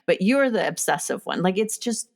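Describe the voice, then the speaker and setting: A young woman hosting a casual film discussion, chatty and often pausing to search for words. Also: mid-range voice, expressive, vocal fry. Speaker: podcast host.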